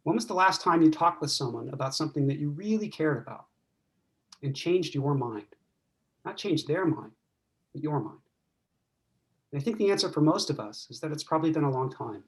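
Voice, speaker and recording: Host, male, slightly high voice, measured and reflective opening speech. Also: lively intonation. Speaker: event host. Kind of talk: prepared opening remarks.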